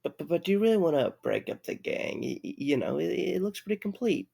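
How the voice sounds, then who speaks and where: A man playing an awkward, weary young man in an audio drama, with a halting, stammering delivery and a creaky voice. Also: fairly high pitch, lively intonation, warm tone, heavily acted. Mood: hesitant.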